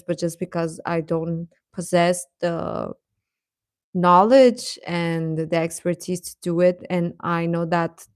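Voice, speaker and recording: Female live stream host: unhurried delivery, lowish pitch, informal and a little hesitant, talking straight to viewers. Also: no room sound, clean studio sound.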